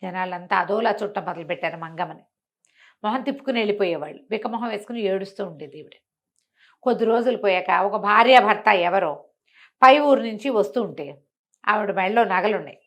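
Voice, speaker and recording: A woman telling a bedtime story in a conversational, expressive way, with rhetorical questions and acted-out exclamations from villagers. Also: mid-range voice, brisk.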